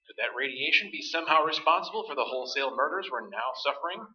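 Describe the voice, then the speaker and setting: A man's voice: on the high side, expressive, fast, pushed and bright, dull audio. A man playing an earnest, formal 1960s television newscaster delivering emergency bulletins in a staged radio play. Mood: probing, serious. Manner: urgent, formal announcing. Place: live stage performance, mic at some distance.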